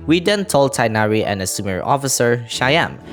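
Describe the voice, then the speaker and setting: A man's voice: medium pitch, very animated, brisk, faint music behind. A man narrating a scripted game story recap as a video creator, dropping in casual asides.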